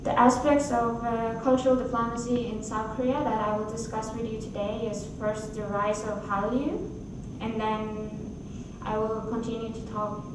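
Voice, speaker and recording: Female academic presenter, twenties, careful and halting delivery. Heavily echoing hall, distant mic, noticeable noise. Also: fairly high pitch, flat delivery. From Norway. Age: 20s. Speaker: academic conference presenter, graduate student. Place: university conference hall.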